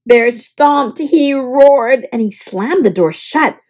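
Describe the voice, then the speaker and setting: A woman reading a children's picture book aloud in an expressive, scripted storytelling style. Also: high voice, slow. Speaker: storybook reader.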